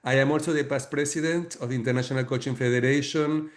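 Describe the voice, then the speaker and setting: A middle-aged man from Argentina with an Argentine Spanish accent, explaining his work as a leadership coach in a measured way, with many fillers and restarts. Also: medium pitch, steady tone. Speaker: guest author and leadership coach. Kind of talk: webinar talk.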